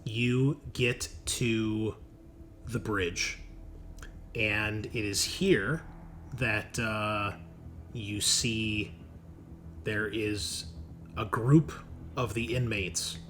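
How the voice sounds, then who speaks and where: A man improvising narration as game master of a tabletop game, often halting to search for words. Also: lowish voice, fairly even intonation, fairly bright tone. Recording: noisy room recording.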